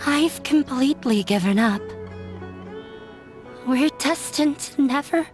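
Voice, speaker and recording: Sad young runaway girl in an anime game, high voice, talks slow and resigned. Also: animated, soft background music. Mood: hurt, sorrowful. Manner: sorrowful, resigned, confiding. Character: dejected young runaway scholar's daughter, self-sacrificing. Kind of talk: English-dubbed anime game voice acting.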